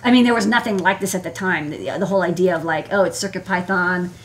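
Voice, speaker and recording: A woman's voice: fairly low pitch, quick pace. Woman, American accent, electronics engineer, casual livestream talk, informal, rambling, many asides. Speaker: electronics engineer presenting her work. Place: indoor desk workspace.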